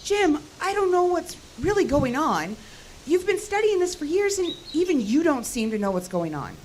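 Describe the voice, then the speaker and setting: A woman's voice: high-pitched, very animated, normal speed, a little hiss or hum. A woman acting an anxious, insistent hiker in an audio horror drama. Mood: urgent, reasoning.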